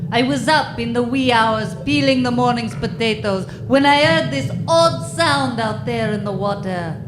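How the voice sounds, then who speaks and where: A woman's voice: on the high side, measured pace, natural voice. A woman playing a salty, superstitious submarine lookout in a stage radio drama, with a folksy and ominous manner. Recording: echoey live hall with noise.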